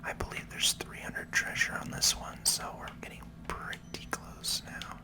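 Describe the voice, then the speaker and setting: Casting: ASMR game host, fully whispered delivery, casual explanatory commentary on gameplay. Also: unhurried. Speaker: host, gaming video creator.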